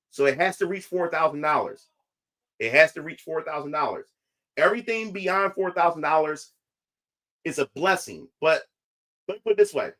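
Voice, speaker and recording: A man's voice: fairly high pitch, a bit bright. Rambling man chatting to viewers. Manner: repetitive, restarts phrases often. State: voice not fully recovered. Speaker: independent comic creator. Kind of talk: casual livestream talk to viewers.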